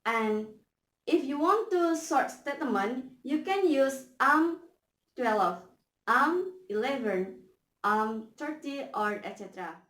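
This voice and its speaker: A young woman teaching a simulated English lesson, explaining slowly and carefully with hesitant pauses. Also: high voice, animated, fairly bright tone. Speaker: student teacher. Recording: echoey room.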